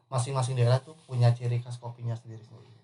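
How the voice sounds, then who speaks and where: A young man from Indonesia, a barista guest, talking in a relaxed, rambling way with restarts in a casual podcast chat. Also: mid-range voice, racing. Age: young adult.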